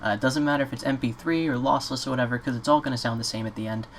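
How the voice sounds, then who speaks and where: A man giving a casual, off-the-cuff software tutorial in an informal, slightly hesitant way. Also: medium pitch, fairly even intonation, rapid-fire. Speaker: tutorial presenter. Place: indoors at a computer. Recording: clear background noise, keyboard clicks.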